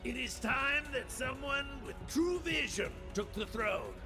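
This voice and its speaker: A high, gloating usurper king. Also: animated, measured pace, over music. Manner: mocking, theatrical, laughing. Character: gloating power-mad male royal usurper. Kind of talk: video game voice acting. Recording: game cutscene over loud score.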